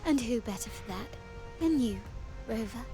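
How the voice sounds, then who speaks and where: An actress playing a gentle, hopeful young heroine in a fantasy game, speaking earnestly. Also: female voice, on the high side, animated, normal speed, music in the background, close to an everyday voice. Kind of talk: dramatic fantasy game acting.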